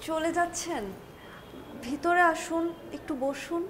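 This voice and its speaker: An actress with a Bangladeshi accent playing a meek, courteous young wife in a TV drama, speaking politely and hesitantly. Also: female voice, very high-pitched, fairly even intonation, a little slow, slight background noise. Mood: polite, coaxing. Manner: polite, hesitant, soft pleading. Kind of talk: natural TV drama acting.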